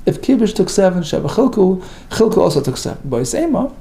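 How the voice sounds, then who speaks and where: Lively, gravelly man teaching Talmud. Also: high voice, fast. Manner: reads Hebrew and Aramaic phrases then translates, lively and emphatic. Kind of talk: daily page lesson, reading and explaining.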